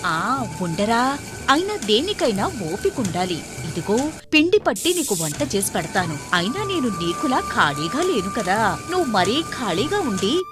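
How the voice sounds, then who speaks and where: A woman voicing a busy village mother, affectionately chiding her idle son in an animated moral story. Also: a little high, unhurried, over music. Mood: scolding.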